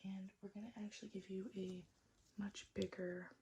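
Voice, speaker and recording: A woman doing an ASMR roleplay as a repair technician, whispering and speaking softly in a gentle, reassuring, unhurried way. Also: mid-range voice, level tone, very noisy. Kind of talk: ASMR roleplay, mostly whispered and soft-spoken.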